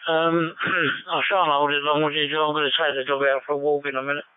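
Even-toned English man over narrowband radio. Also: on the high side, a little fast, smooth, no echo. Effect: digital amateur radio codec. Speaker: amateur radio operator. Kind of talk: casual two-way radio chat. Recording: phone-like digital radio transmission.

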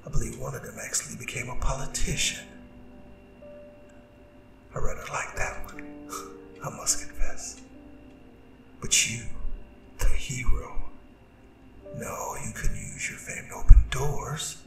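A gloating, seductive demon king played by a man murmurs in a breathy near-whisper for an ASMR roleplay. He taunts intimately and very slowly, with theatrical pauses. The voice sounds distant and roomy, with music clearly underneath. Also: mid-range voice, fairly even intonation. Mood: taunting.

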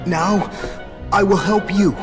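An actor playing a gentle, timid young hunchback in a video game, with an earnest, childlike way of talking. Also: male voice, sits high, slow pace, music in the background. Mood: earnest, tender. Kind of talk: dramatic video game acting.

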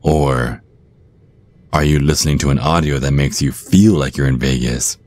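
A man's voice: very deep voice, moderate pace, a little mellow, no echo. A man playing a suave, predatory vampire stage magician in a hypnotic ASMR roleplay, taunting and domineering. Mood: teasing.

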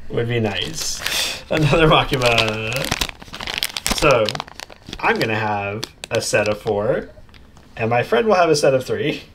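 Guy doing chatty unboxing commentary, medium pitch, informal, noisy recording w crinkly plastic in the back. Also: animated, measured pace. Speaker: unboxing video host. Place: indoors at a tabletop. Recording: clear background noise, crinkling packaging. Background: crinkling plastic bags, clicks.

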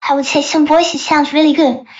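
High girlish voice from a man, AI voice changer, software demo, dull lo-fi sound. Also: flat delivery, moderate pace. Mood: explanatory. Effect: real-time AI voice changer. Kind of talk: software demonstration.

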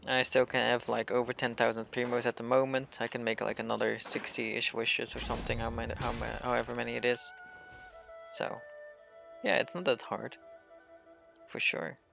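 A man's voice: medium pitch, little pitch movement, moderate pace, vocal fry, fairly bright tone, faint music behind. A young man streaming a game, chatting casually with viewers and commenting on his play. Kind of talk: casual live stream chat and gameplay commentary.

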